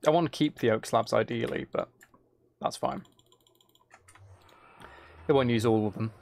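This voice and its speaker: Low-key man with creaky voice, gaming. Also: normal speed. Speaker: streamer playing a game while chatting with viewers. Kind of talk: casual game commentary and chat.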